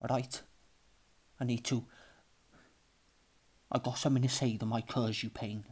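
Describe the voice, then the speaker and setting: An actor plays a possessive, jealous boyfriend in a dramatic monologue. His voice is mid-pitched, his delivery intense and confrontational. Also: little pitch movement, unhurried, darkish tone, husky. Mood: quiet menace.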